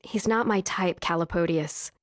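A woman's voice: lowish voice, normal speed, no echo, studio quality, close to an everyday voice. An actress playing a frank, wry young noblewoman and tender wife in an audio drama, with a direct way of talking.

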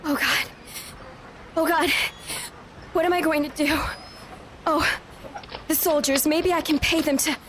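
A woman's voice: high voice, steady tone, measured pace, pauses often. An actress playing a proud, haughty young Roman noblewoman who speaks dramatically, in an audio drama. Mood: desperate pleading. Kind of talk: full-cast audio drama acting. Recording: loud background ambience noise.

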